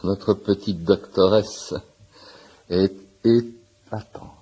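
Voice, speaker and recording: An actor playing a chatty, meddling patient who fancies himself a doctor, fussy and repetitive, in a French radio comedy. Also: male voice, sits low, steady tone, drawn-out words, warm tone, breathy edge, full character voice, poor audio. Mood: chatty, eager. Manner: fussy, repetitive, self-important know-it-all.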